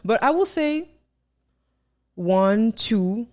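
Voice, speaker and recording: Mellow, lively-voiced podcast co-host woman. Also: on the high side, drawn-out words. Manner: relaxed, playful, asks questions. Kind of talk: casual chat.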